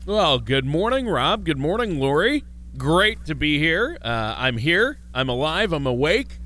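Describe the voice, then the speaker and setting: A man's voice: on the high side, measured pace, slight background noise. A man co-hosting a radio show in a studio, bantering and joking in a casual way. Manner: jokey, lively banter. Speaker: radio co-host.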